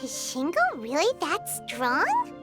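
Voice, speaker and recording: A woman voicing a tiny, childlike fairy companion in a video game, excitable and exclamatory. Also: high voice, takes it slowly, over music, full character voice. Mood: curious, amazed. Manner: exclamatory, wide-eyed. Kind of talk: animated video game voice acting.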